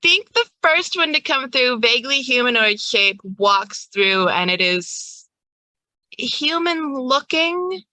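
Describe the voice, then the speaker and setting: A woman's voice: high-pitched, sing-song, slow, sharp tone, no room sound, poor audio. A woman game master improvising scene descriptions in a conversational way for a tabletop role-playing game.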